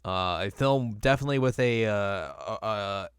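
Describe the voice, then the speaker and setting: A man's voice: on the low side, animated, moderate pace, clean tone, dry recording, studio quality. A man hosting a podcast in a casual, chatty style. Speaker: podcast host. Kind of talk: casual chat about video game films.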